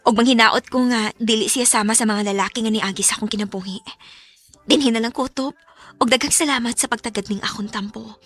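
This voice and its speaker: An actress with a Visayan Filipino accent playing an emotional, trusting young wife working abroad in a radio drama. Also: female voice, on the high side, fairly quick, fairly bright tone. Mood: sad, reflective. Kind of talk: Visayan radio drama acting with first-person narration.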